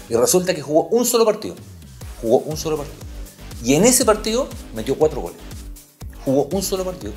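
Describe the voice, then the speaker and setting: A man's voice: medium pitch, darkish tone. A man hosting a sports podcast, telling stories emphatically and repeating key points. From Chile. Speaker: podcast host, sports journalist.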